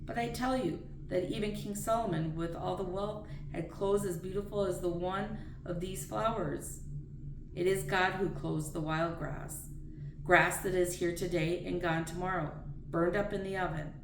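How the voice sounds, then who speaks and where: A woman with a slightly low, gravelly voice reads scripture aloud, plainly and earnestly. She sounds some distance from the microphone, in a room that echoes around her words. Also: fairly even intonation, moderate pace. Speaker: reader of daily scripture readings. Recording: roomy echo, distant mic, faint noise.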